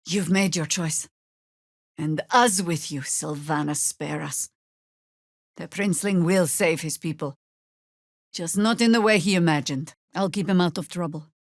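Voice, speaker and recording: A woman voicing a battle-weary, middle-aged druid in a fantasy video game, dry and wry, speaking in measured, formal phrases. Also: lowish voice, dry recording. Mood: resolute. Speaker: video game companion character.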